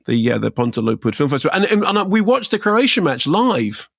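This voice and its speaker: Man, 60s, co-host, rambling, self-correcting, gravelly voice, dry sound. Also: on the high side, big pitch swings, fairly quick, dry recording. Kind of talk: casual podcast chat.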